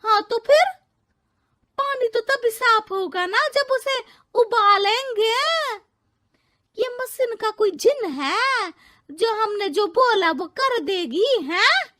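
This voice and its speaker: A woman voicing a scolding, naive elderly mother-in-law in a cartoon story, with loud exclaiming rustic talk. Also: very high-pitched, lively intonation, slow pace, heavily acted. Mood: scolding, indignant.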